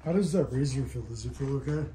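A man's voice: medium pitch, fast, dark tone, roomy sound, slight background noise. A man working as a barber, chatting casually in an encouraging, slangy way while cutting hair. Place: indoor barbershop.

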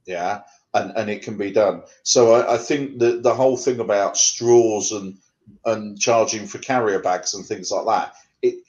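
A man's voice: on the low side, unhurried, darkish tone, highs cut off. Man reciting rhyming poetry on a video call. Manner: rhythmic rhyming recitation, then conversational with fillers. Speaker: poet guest. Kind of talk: poetry reading then interview answers. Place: home room.

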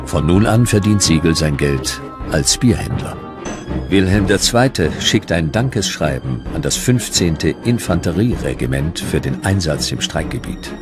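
A man's voice: deep voice, animated, a little slow. A man narrating a historical documentary from a script. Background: music.

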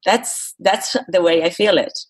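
A woman's voice: on the low side, a little slow, slightly rough. A Brazilian woman, a native Portuguese speaker, talking earnestly and emphatically as a coach being interviewed. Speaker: guest, public speaking coach. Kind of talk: interview talk.

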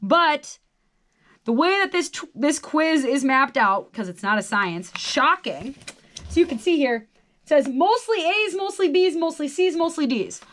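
A woman's voice: sits high, lively intonation, measured pace, fairly bright tone. An American woman in her twenties chatting casually and reading a quiz aloud, with wry, self-deprecating asides. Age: 20s. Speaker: host of a solo quiz video. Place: small radio studio.

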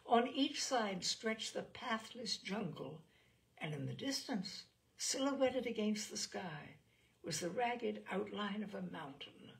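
Expressive woman narrator with a creaky edge. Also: medium pitch, slow pace, low-quality recording. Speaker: storyteller reading fiction aloud. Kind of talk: literary audiobook narration.

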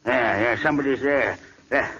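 A man's voice: medium pitch, moderate pace, sharp tone, lightly acted. An actor playing a folksy, good-humored old morgue attendant who chuckles as he talks, in 1940s radio acting. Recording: dull, hissy old radio recording.

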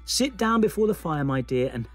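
A performer playing an affectionate family father in a Christmas audio drama, with a husky voice. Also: mid-range voice, sing-song, moderate pace, soft background music. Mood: fond, fussing.

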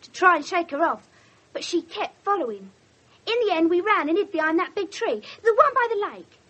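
A woman's voice: very high voice, big pitch swings, normal speed, a bit bright, exaggerated voice. An actress with an English accent playing a defiant, pert young teenage girl in a radio drama. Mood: sullen explaining. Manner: pert, defensive. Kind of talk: 1970s British radio drama acting.

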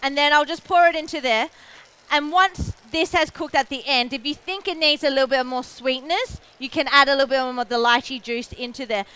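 A young Australian woman explains a recipe step by step to a live crowd on a show-stage kitchen. Her voice is high and very bright, and her manner is friendly and easy. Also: fairly even intonation, fairly quick. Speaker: cooking demonstrator. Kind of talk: live cooking demo.

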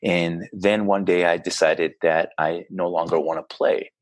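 A man's voice: low voice, fairly even intonation, unhurried. A man from Israel in his thirties, a basketball coach talking earnestly and casually as a podcast guest. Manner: earnest, chatty, frequent restarts and fillers. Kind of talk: casual interview chat.

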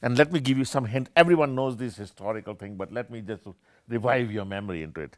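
An older man, a professor, giving an animated and discursive academic lecture with many self-corrections, seated in a small room with armchairs. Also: mid-range voice, quick pace, dry recording, studio quality. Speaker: keynote speaker, professor.

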